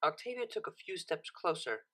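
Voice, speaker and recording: A narrator reading a scripted story expressively, with dramatic, deliberate phrasing. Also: mid-range voice, big pitch swings, bright, forceful tone. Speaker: narrator, audiobook reader.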